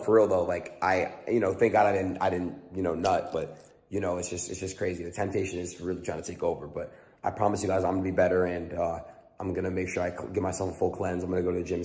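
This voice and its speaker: A man's voice: low voice, darkish tone. A young man talking in an earnest, rambling confessional vlog, full of fillers. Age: young adult. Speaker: streamer in a played clip. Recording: roomy echo with long reverb.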